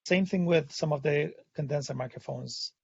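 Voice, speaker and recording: Hoarse man presenting a webinar. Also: on the high side, moderate pace, a little mellow, dry recording, highs cut off. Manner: hesitant, many "uh" fillers. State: hoarse, has lost his voice. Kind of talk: technical webinar explanation. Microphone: studio condenser microphone.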